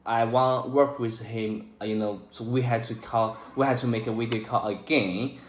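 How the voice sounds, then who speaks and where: A man with a Chinese accent, a native Chinese speaker learning English, talking casually in halting, repetitive, broken phrases. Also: medium pitch, fairly even intonation. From China. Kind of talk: casual one-on-one conversation lesson. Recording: echoey room.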